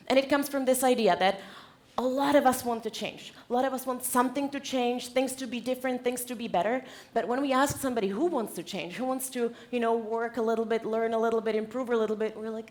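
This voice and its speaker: A woman from the Czech Republic with a Czech accent, giving an informal motivational presentation to an audience in a playful, joking, conversational way. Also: on the high side. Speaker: conference keynote speaker.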